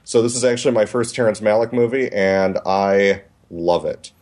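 Low-voiced, thoughtful male co-host. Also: moderate pace, a little mellow. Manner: hesitant, many restarts, thoughtful. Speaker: podcast co-host. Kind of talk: casual podcast chat.

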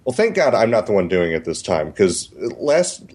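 Male co-host, lowish voice, thoughtful, halting delivery with restarts. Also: big pitch swings, darkish tone, breathy edge. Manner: hesitant, many restarts, thoughtful. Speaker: podcast co-host. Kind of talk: casual podcast chat.

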